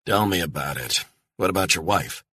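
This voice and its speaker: A man's voice: low voice, expressive, normal speed, bright, forceful tone, husky, no room sound, clean studio sound, heavily acted. A man voicing a guarded American male operative with an American accent, talking tersely and laconically.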